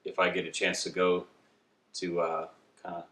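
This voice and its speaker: A man in his thirties chatting casually and haltingly as a good-humoured, teasing vlogging dad. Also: low-pitched, completely flat delivery, fairly bright tone. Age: 30s. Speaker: vlogger father. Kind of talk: casual couple Q&A chat. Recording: roomy indoor echo.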